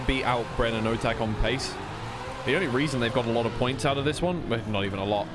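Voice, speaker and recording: A man giving live esports racing commentary in a conversational way, often second-guessing himself. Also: medium pitch. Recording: clear background noise of vehicle sounds.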